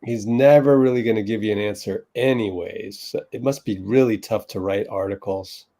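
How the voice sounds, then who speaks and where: A man's voice: on the low side, moderate pace, warm tone. A man hosting a casual livestream chat, talking in an offhand way while juggling tasks. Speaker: livestream host. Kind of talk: casual live-stream chat.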